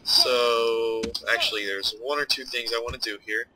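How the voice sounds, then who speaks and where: A man's voice: mid-range voice, fairly even intonation, moderate pace, sharp tone, slight background noise, poor audio. A young man with a stuffed-up, nasal voice, casually commenting while he plays a strategy game and thinks aloud. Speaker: let's play host.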